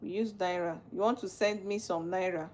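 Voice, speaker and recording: A woman's voice: lowish voice, fairly even intonation, moderate pace, darkish tone. Nigerian-accented woman, teasing livestream host. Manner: questioning, teasing. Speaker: livestream host, legal practitioner. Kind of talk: live chat reading viewer comments.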